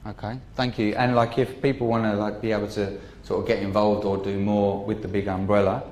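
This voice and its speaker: Hesitant low-voiced man hosting a meetup. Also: little pitch movement, fairly quick, darkish tone, slight background noise. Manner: hesitant, full of fillers. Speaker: meetup host. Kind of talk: casual event hosting. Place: meetup venue with audience. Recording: echoey room with crowd.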